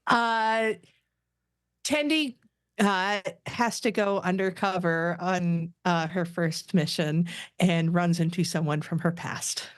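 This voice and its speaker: A woman's voice: lowish voice, slow, clear, resonant tone, dry recording, clean studio sound. A woman narrating a script at a casual table read.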